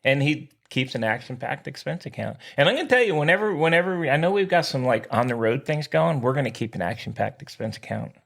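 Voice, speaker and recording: A man's voice: medium pitch, animated, fast, creaky, hardly any pauses, a bit bright. A man co-hosting a casual podcast, chatting in an enthusiastic, jokey manner. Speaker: podcast co-host. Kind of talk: casual sports and pop culture chat.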